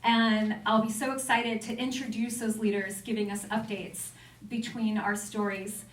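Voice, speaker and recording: A woman with a fairly high voice is emceeing a live event. She speaks informally and effusively to the room. The sound is echoey and a little distant from the mic, with faint noise. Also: level tone, normal speed. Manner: enthusiastic, effusive. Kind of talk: informal welcome speech to a live audience. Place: indoor event room with an audience. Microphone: stage microphone.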